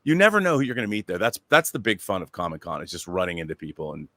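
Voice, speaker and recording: Lively, bright-voiced man telling stories. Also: sits low, racing, no echo. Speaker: panelist on a pop culture talk show. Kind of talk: animated anecdote telling, casual chat.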